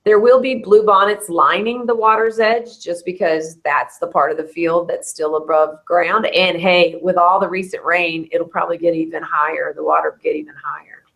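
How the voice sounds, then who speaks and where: Hoarse chatty woman, lowish voice. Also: fairly even intonation, fairly bright tone, low-quality recording. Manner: chatty, quick to laugh. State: hoarse, losing her voice. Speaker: host of a bluebonnet bloom update. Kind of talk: casual live chat with a co-host.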